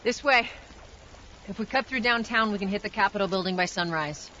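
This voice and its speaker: A woman's voice: mid-range voice, fairly quick, pushed and bright, dull audio, lightly acted. A woman playing a tough, determined smuggler in a video game, firm and commanding, with an American accent. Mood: focused. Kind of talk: video game cutscene acting. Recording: heavy rain noise behind.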